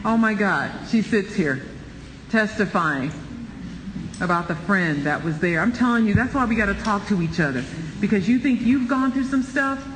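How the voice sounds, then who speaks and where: High-pitched man, lively Bible study leader, roomy hall reverb, light noise. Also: moderate pace. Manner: preachy, animated, exclaiming. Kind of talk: church Bible study talk.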